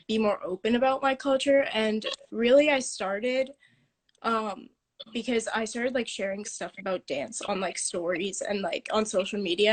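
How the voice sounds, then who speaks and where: A teenage girl speaks as a guest on an online live stream, describing her time as a dance student. She talks thoughtfully, stringing answers together with many fillers, at an everyday middle pitch. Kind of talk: casual interview answers.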